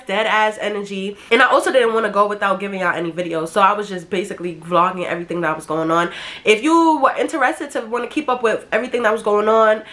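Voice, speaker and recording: A young woman from Brooklyn, telling a story in a slangy, swearing manner, sounding nasal and congested from a head cold, on a webcam in a small bedroom. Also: medium pitch. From Brooklyn, New York. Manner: brash, slangy and animated, swearing freely. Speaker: YouTuber. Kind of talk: casual storytime chat to camera.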